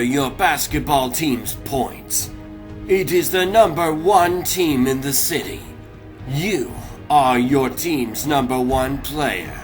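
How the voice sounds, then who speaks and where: A man voicing a grandiose cat crime boss in a cartoon comic dub, pompous, deliberate and menacing. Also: on the high side.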